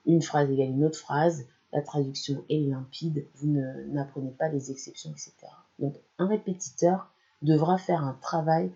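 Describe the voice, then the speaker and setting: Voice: mid-range voice, warm tone, dull audio. A language channel presenter giving an explanatory talk that speaks straight to the listener. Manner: explanatory, addresses listener directly. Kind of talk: educational talk to camera.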